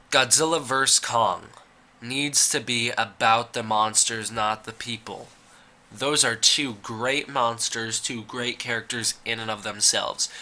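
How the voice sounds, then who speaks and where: A man's voice: fairly low pitch, pushed and bright. A young man with a cold, giving a rambling, opinionated casual commentary as a YouTuber, with frequent "uh" and "like". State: fighting a cold.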